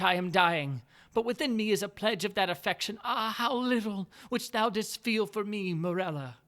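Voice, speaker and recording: A solemn, mystical young wife on her deathbed, voiced by a man reading a storybook aloud. Her voice sits higher than the reader's own and rings out louder, drawing out each archaic phrase like a prophecy. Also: close to an everyday voice. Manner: intoned, archaic solemn speech, drawn-out phrases.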